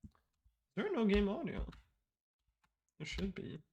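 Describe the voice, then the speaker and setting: Casual: guy talking thru his speedrun live, kinda higher voice, loose and rambly. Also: big pitch swings, takes it slowly, creaky, darkish tone, highs cut off. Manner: casual, explanatory, frequent fillers and restarts.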